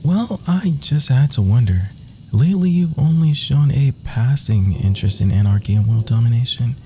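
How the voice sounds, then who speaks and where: A flirtatious, gleefully wicked villain voiced by a man swoops from melodrama down to purring whispers. The tone is mellow and unhurried, doting and theatrical, an ASMR roleplay with faint ambience behind. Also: medium pitch, lively intonation, a little hiss or hum, close to an everyday voice. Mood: teasing reproach.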